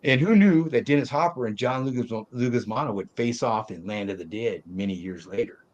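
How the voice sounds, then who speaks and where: A man's voice: mid-range voice, brisk, darkish tone, muffled recording. An older man hosting a casual movie discussion, rambling and opinionated in colloquial speech. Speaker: host of a film review panel.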